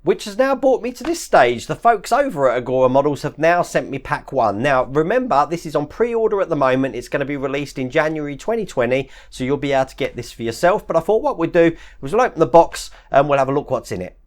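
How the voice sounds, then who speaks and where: A man hosting his model-building channel, chatting casually through an unboxing, hoarse from a chest infection and full of fillers and little laughs. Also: a little high, lively intonation, fast, few pauses. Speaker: model-kit channel host.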